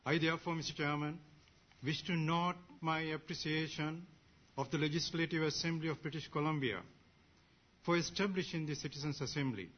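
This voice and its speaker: Husky-voiced man giving formal speech. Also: on the high side, fairly even intonation, highs cut off. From Sri Lanka. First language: Sinhalese. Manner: measured, ceremonious, short phrases. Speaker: assembly member.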